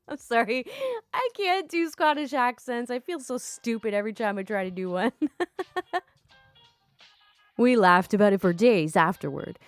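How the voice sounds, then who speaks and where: A young woman reading online stories aloud and commenting between them, chatty and quick to laugh, with casual swearing. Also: mid-range voice, dry recording. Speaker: storytime YouTuber.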